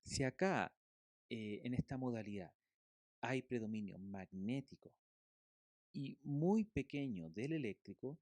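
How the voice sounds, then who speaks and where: Mellow man giving a lecture. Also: medium pitch, expressive, a little slow, dry recording. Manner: didactic, checks understanding with rhetorical questions. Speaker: physical therapy instructor. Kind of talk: recorded lecture explaining slides.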